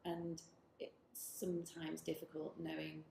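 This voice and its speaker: Gravelly-voiced woman director, thoughtful. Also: low-pitched, monotone, unhurried, dark tone. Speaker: technology director. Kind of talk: workplace interview. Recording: roomy office with faint noise.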